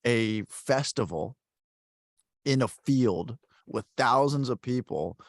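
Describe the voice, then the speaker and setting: A man hosting a podcast in casual chat, telling stories in a rambling, animated way with many fillers. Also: mid-range voice, slow pace, no room sound, clean studio sound. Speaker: podcast host.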